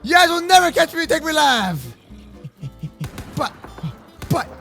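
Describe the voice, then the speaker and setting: A man improvising an excitable, exaggerated Minecraft roleplay as host of a kids' gaming channel. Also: very high voice, slow pace, gravelly, faint music behind. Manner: excitable, exaggerated playful delivery.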